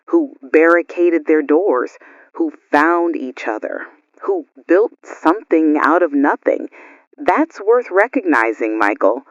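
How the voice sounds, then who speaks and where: Slow, higher-voiced actor as male radio survivor. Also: no echo, muffled recording. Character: earnest male radio co-host, anxious yet hopeful survivor. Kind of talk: radio drama dialogue.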